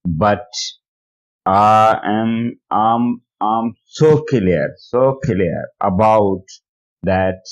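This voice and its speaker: A man with a Pakistani accent giving a personal testimony in halting, repetitive English, searching for words. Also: lowish voice. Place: recorded voicemail message. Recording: dull lo-fi voice message.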